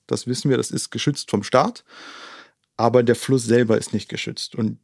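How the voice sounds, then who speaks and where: A man from Germany with a mid-pitched voice talks as an interview guest. He explains step by step and in detail, often adding little confirmation tags. The sound is dry, with very little room. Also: steady tone, normal speed, vocal fry, no echo. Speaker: conservation organisation co-founder, interview guest.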